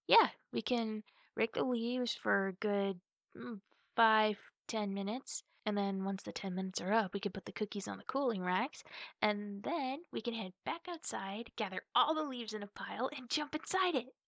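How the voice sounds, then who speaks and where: Woman acting as a creaky-voiced, playful big sister. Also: mid-range voice, no echo. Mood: eager, planning. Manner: chatty, playful, with drawn-out words and hushed asides. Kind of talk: ASMR roleplay, spoken to the listener.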